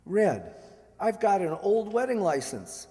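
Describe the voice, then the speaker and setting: Man acting a suave, lively, higher-voiced ex-husband. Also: slow pace, heavily acted. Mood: tender, playful. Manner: wry, playful. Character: suave, teasing ex-husband of a society bride. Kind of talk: radio play acting. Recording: stage hall reverb.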